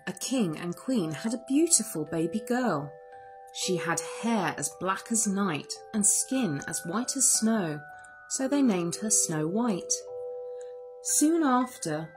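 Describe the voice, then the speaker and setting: A woman reads a children's tale aloud as host. Her delivery is expressive and unhurried, at a medium-low pitch for a woman or near the middle, with music behind her. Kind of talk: expressive read-aloud story narration.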